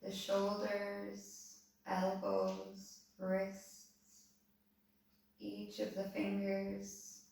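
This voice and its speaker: A woman calmly leading a guided body-scan meditation, giving soothing, unhurried instructions. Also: mid-range voice, vocal fry, a little hiss or hum. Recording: very echoey room, voice distant from mic.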